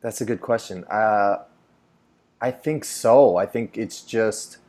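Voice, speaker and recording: A man's voice: fairly low pitch, slow, darkish tone. A man from New York hosting a casual chat over a video call, rambling and filling his talk with "like". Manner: rambling, heavy on "like" fillers. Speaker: host.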